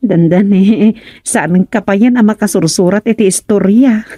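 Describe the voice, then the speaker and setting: A woman's voice: mid-range voice, lively intonation, slow, dark tone, slightly rough, dry recording, clean studio sound. A woman acting a teasing, hospitable village captain's wife in an Ilocano radio comedy drama, chatty and homely.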